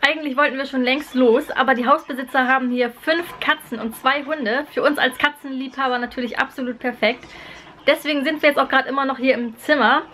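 A woman's voice: high-pitched, fairly even intonation, a little fast, sharp tone, slight background noise. A young woman vlogging casually with cheerful enthusiasm, holding the camera at arm's length. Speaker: travel vlogger. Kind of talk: casual travel vlog. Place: indoors in a bedroom, then outdoors on a beach. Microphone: handheld camera at arm's length.

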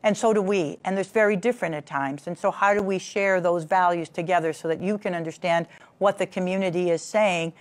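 A woman in her sixties, a city health official on a studio panel, speaking in a measured, deliberate way with frequent ums. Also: on the low side. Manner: measured, deliberate, filler-laden. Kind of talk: panel discussion. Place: studio stage with curtain backdrop.

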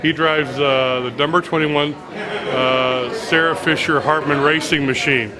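A man's voice: fairly high pitch, steady tone, a little slow. A middle-aged man, a sports reporter, chatting and teasing during a live-streamed walking interview in a busy indoor garage. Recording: crowd chatter behind.

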